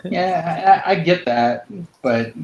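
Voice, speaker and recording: A man's voice: on the high side, animated, slow, a little mellow. Echoey, dull-sounding man chatting casually. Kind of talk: casual chat. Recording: roomy echo, dull sound.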